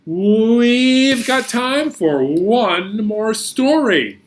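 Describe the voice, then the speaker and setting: A man hosting a children's storytime, reading and singing playfully and expressively with sudden emphasis. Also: on the high side. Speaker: children's storytime host, librarian reader. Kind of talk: animated storytelling and songs for young children.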